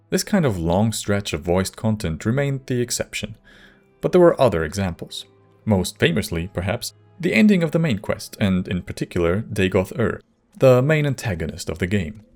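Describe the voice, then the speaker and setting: Casting: man for essay narration, fairly low, measured and wry, light music bed. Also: sing-song, a little mellow. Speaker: video essay host. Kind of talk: scripted narration. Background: faint music.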